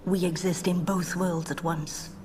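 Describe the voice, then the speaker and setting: A solemn spirit guardian from a fantasy game, acted by a woman, somewhat low for a female voice. Her delivery is grave, formal and archaic, with clear noise behind it. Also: flat delivery, unhurried, darkish tone, close to an everyday voice. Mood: grave, foreboding. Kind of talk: dramatic video game acting.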